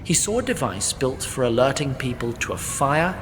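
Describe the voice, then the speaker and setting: A man narrating a fantasy comedy in a wry, formal storytelling manner, his delivery animated and lively. The recording carries a long reverb tail and a faint low rumble underneath. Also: moderate pace. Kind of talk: scripted narration.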